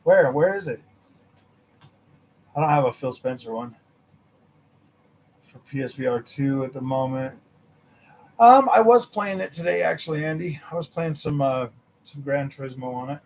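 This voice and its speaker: A young man hosting a gaming channel, chatting casually with his viewers, animated and laughing, his voice a little worn from nearly losing it. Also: moderate pace, pauses often. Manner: animated, laughing, mimics others in a high voice. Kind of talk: casual livestream chat with viewers.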